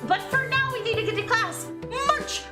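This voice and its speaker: A woman voicing an excitable, bossy magical schoolgirl who loves sweets and gushes in exclamations. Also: very high voice, moderate pace, reverberant, over loud music, voice pushed up. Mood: bossy excitement. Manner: exclaiming, gushing.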